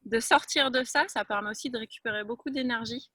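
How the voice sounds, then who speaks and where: Bright-voiced woman speaking frankly. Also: fairly high pitch, a little fast, no echo. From France. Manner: frank, emphatic, conversational. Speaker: Taoist teacher, guest. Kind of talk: reflective interview talk.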